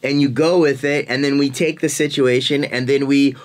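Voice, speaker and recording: A man in his forties hosts a casual podcast chat in a mid-pitched voice. He rambles along, laughs easily and loses his thread now and then. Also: fairly even intonation, normal speed. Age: 40s. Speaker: podcast host.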